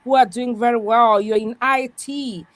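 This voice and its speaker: A woman's voice: on the high side, steady tone, moderate pace, no echo. A woman pastor speaking earnestly in a conversation, with a strong voice, who often adds "yeah" as she talks. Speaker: pastor, guest. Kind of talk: podcast conversation, reflective.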